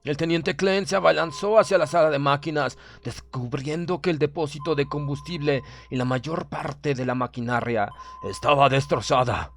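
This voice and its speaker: A man reading a horror story as a dramatic audiobook narrator, in the role of a stern German submarine officer, with measured, theatrical delivery. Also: on the high side. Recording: narration over clear background music. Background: music.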